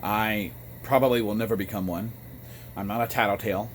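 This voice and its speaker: An American man with a fairly low voice talks off the cuff in a loose, rambling vlog style. His delivery is halting, with pauses between short phrases. There is noticeable noise in the recording. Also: fairly even intonation. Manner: halting, frequent throat clearing between phrases. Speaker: amateur radio vlogger. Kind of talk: casual rambling monologue.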